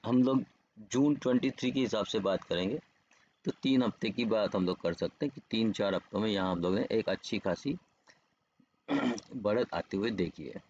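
A man's voice: fairly low pitch, normal speed, darkish tone, slight background noise. Man, muffled lo-fi voice-over. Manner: explanatory, with repeated check-in tags. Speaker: stock trading educator and host. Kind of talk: informal market analysis over screen charts. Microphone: voice-over on screen recording.

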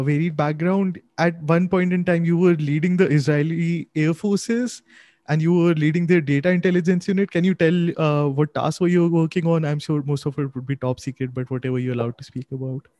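Podcast host: young man, slightly high voice, close and dry on a desk mic. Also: a little mellow, no echo. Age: young adult. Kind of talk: podcast introduction and interview questions. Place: small home office, indoors. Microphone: close desk microphone.

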